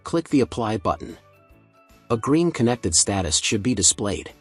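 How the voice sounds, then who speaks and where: A man reading a scripted software tutorial in a measured, procedural instructional manner. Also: on the low side, very animated, breathy edge, soft background music.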